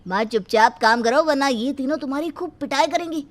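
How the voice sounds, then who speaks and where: A woman's voice: sits high, fairly quick, close to an everyday voice. A woman voicing a cowardly, sly grown son who stammers nervously in a cartoon. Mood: callous.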